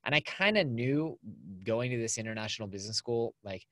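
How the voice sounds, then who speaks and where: A man's voice: medium pitch, lively intonation, a little fast, a bit bright, dry recording, studio quality. A man, talking as a podcast guest in an upbeat, chatty way over a video call. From California. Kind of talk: casual conversational interview. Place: small home studio with acoustic foam.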